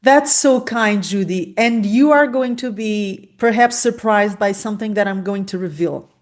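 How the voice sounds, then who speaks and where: A woman's voice: medium pitch, normal speed. A woman who grew up speaking Portuguese and Spanish, hosting a podcast interview and talking openly and expressively. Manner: expressive, talks through her feelings openly. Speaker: podcast host. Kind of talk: conversational interview.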